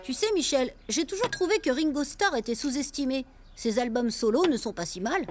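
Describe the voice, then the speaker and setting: A woman's voice: high voice, lively intonation, fairly quick, over music. A woman voicing a pompous, dramatic young boy in a French cartoon, with a wordy, affected manner. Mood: pompous, aggrieved. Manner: wordy, affected, formal phrasing. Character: pompous, dramatic, precocious well-bred boy cousin. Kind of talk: French animated series acting.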